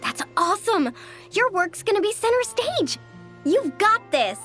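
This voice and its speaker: A woman voicing a small, feisty, skeptical fairy companion in a video game, squeaky and blunt, with music behind. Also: big pitch swings, a little slow, fairly bright tone, full character voice. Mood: amazed, cheering. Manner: blunt, exclamatory.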